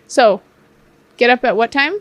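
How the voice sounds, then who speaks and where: A woman of thirty with a high voice jumps into a casual home podcast with playful questions and quick asides. Her tone is light and teasing. The sound is close and dry. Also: a bit bright, no echo. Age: 30s. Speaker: podcast co-host. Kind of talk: casual chat. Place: home room.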